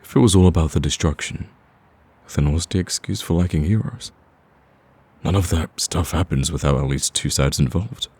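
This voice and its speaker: A man playing a smitten, cocky villain in a romantic ASMR roleplay, with a husky, very deep voice and a smooth-talking, teasing, pleading manner. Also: normal speed, darkish tone, full character voice. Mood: earnest wooing. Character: smitten male villain, cocky, persistent, wooing.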